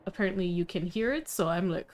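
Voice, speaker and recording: A woman game streamer chatting casually on a live stream, halting and rambling with half-finished sentences. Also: fairly low pitch, darkish tone, a little hiss or hum.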